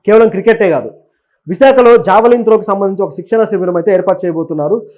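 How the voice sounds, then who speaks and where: A man's voice: high voice, fast, a little mellow. A man giving conversational news commentary as a web presenter, explaining and offering opinions, with English words mixed in. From Telugu states of southern India.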